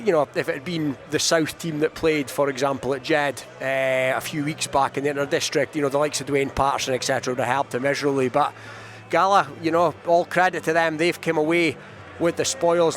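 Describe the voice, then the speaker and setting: A male rugby pundit, giving live analysis in a halting way with repeated words. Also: fairly high pitch. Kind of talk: live post-match analysis.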